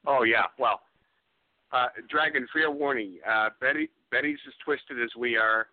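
A man chatting casually as a radio interview guest, halting but matter-of-fact. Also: medium pitch, no echo. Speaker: guest, film special effects artist. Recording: dry, muffled phone-like line.

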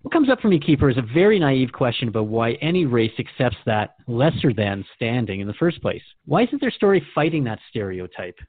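A man's voice: mid-range voice, very animated, fairly quick, hardly any pauses, no echo. Male radio host, thin phone-like sound. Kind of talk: scripted conversational interview in a radio drama.

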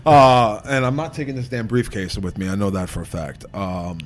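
A man's voice: on the low side, fairly even intonation, normal speed, a little hiss or hum. A man from Staten Island with a New York accent, a podcast guest telling rambling stories in casual chat. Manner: rambling, restarts often, storytelling. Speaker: podcast guest, professional wrestler.

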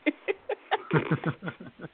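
A man's voice: drawn-out words, a little hiss or hum. A man hosting a comedy podcast, chatting casually and jokily with his co-hosts in a bantering, mock-enthusiastic way. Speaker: host of a comedy radio podcast. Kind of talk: casual jokey chat with co-hosts. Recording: tinny phone-like band-limited sound.

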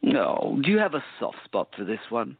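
A man playing a smug, bored gentleman villain in a radio play, sarcastic and haughty, with a creaky voice. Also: mid-range voice, very animated, moderate pace, no room sound, narrow, tinny audio, heavily acted. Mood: sneering, bored.

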